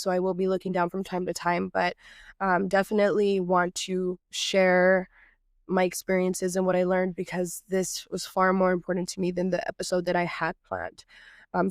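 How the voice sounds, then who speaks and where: A woman's voice: lowish voice, completely flat delivery, moderate pace, no echo, studio quality. A woman from Texas hosting her own podcast, sounding nasal from a cold and talking in an earnest, reflective way with loose, improvised phrasing. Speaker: podcast host.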